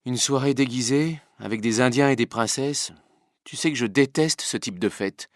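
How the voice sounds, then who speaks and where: Casting: male actor as everyday husband, opinionated yet easygoing, medium pitch, dry studio sound, language-exercise dialogue. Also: moderate pace, no room sound, close to an everyday voice. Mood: reluctant. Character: husband who dislikes costume parties, easygoing but opinionated. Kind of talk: acted dialogue for a listening exercise.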